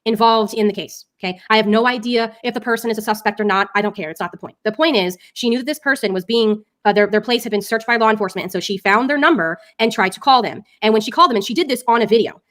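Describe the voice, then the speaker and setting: Female commentator, quick talker, informal and opinionated, emphatic delivery. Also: fairly high pitch, a bit bright. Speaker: commentary streamer.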